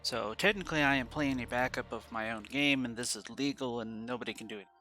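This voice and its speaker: A man's voice: medium pitch, fast talker, pushed and bright, faint music behind. A congested man hosting a let's play, talking in a loose, rambling way full of fillers. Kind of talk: casual game commentary.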